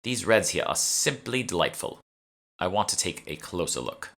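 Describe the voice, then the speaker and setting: A man's voice: low voice, big pitch swings, normal speed, fairly bright tone, exaggerated voice. Man voicing brazen, breezy woman thief. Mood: airy. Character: brazen, cocky woman con artist and thief. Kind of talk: audiobook character dialogue.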